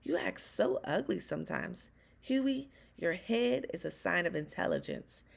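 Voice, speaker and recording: A woman's voice: lowish voice, very animated, a little slow, gravelly, slightly rough, exaggerated voice. A woman voicing a firm but loving mother in a storybook read-aloud, scolding and reassuring her children.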